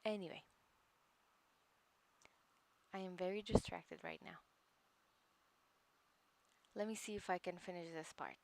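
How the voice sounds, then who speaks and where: A woman's voice: fairly low pitch, expressive, normal speed, gravelly. A woman hosting a casual live stream, chatting hesitantly with viewers and laughing often. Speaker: livestream host studying along.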